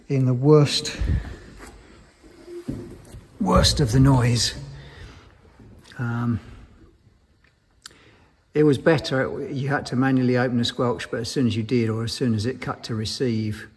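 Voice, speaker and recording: A man's voice: medium pitch, darkish tone, slightly rough. A man giving an informal technical explanation in a matter-of-fact, understated and somewhat hesitant way, as a maintenance engineer. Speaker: aircraft maintenance engineer presenting.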